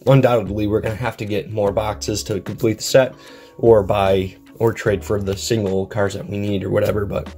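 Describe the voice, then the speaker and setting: A man's voice: lowish voice, dark tone. A young man with a head cold hosting a casual card pack-opening vlog, rambling and upbeat with lots of ums, recorded on a desk camera indoors. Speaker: card collecting channel host.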